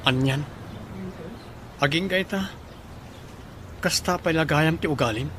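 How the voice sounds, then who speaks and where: A man's voice: fairly high pitch, measured pace, background noise, lightly acted. A man playing a humble, hardworking young man in an Ilocano audio drama, speaking earnestly and modestly. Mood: sincere.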